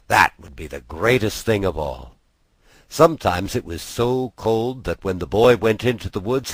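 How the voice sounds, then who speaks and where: Male storybook narrator, husky and low, measured dramatic phrasing, close dry sound. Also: no echo. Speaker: audiobook narrator. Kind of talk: expressive scripted storytelling narration.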